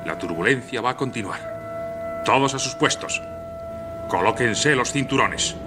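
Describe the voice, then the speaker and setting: An actor playing a knowledgeable, grave expedition officer in a radio drama, didactic and authoritative. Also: male voice, medium pitch, expressive, measured pace, natural voice. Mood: commanding. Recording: loud music behind the voice.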